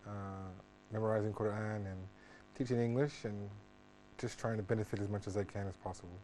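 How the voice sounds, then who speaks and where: A man's voice: fairly low pitch, steady tone, brisk, mellow, slight background noise, poor audio. A man from Maryland, a regular panel guest, speaking casually with many restarts and repeated words. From Maryland, USA.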